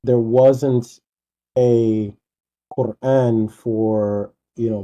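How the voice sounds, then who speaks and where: A man's voice: lowish voice, warm tone, rough recording. A man calling into a live debate, speaking hesitantly, stammering and repeating words as he questions the host. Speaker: caller.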